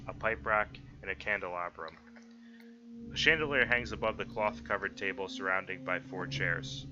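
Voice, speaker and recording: A man acting as game master for a tabletop roleplay session, moving between narrating and casual table chat. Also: on the low side. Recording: dull lo-fi audio with music behind. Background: background music.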